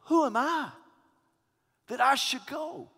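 A preacher acting out a reluctant old prophet in his eighties, his voice pushed up high and bright as he protests that someone else should go. The complaints ring out into a long, echoing church hall. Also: slow pace, full character voice. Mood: exasperated. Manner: whiny, protesting, exasperated pleading.

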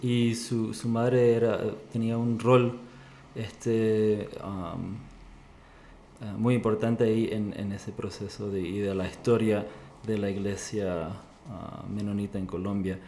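A man asks questions as a podcast interviewer, slowly and hesitantly, searching for his words. The sound is echoey and roomy, with some light noise behind him. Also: on the low side, flat delivery, dark tone. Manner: hesitant, halting. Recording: echoey room with background noise.

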